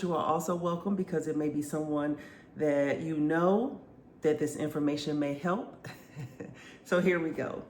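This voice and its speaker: A woman in her fifties, congested and stuffy-sounding, chatting casually to her viewers and telling stories with frequent laughs. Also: lowish voice. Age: 50s. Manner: chatty, laughs often, lively storytelling. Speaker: vlogger. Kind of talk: casual chat to camera. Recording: echoey room, faint background noise.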